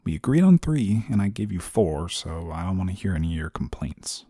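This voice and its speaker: A man playing an exasperated, rule-bound but soft-hearted guardian angel in a scripted audio roleplay, scolding in a weary, formal way. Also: deep voice, very animated, a little fast, no echo, studio quality. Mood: stern, grudging. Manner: weary, scolding, formal wording, sighs often.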